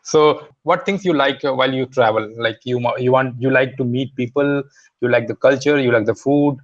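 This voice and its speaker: A man's voice: mid-range voice, normal speed, dull audio. A man from India with an Indian accent, practicing English in a casual video-call conversation, friendly and hesitant with frequent restarts. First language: Hindi. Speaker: English learner.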